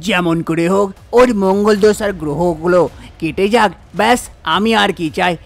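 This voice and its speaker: A man's voice: high-pitched, moderate pace, gravelly. A man voicing a bride's eager, resigned father in a cartoon village tale, speaking in a pleading, exclamatory way. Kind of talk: Bengali cartoon story dialogue.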